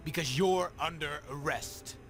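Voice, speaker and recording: A man playing a gruff, dismissive armed soldier in a visual novel, with music behind him. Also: a little high, unhurried, lightly acted. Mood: scornful.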